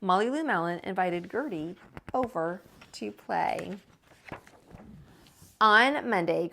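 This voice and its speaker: A woman reading a children's picture book aloud as a storytime host, with expressive, drawn-out emphasis. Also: medium pitch.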